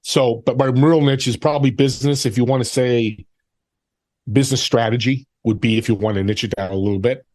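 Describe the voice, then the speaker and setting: A man's voice: medium pitch, steady tone, brisk, darkish tone, no echo. A man with a mid-range voice gives conversational interview answers. He is plain-spoken and tends to list his points. The recording is very dry. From United States. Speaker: podcast host being interviewed.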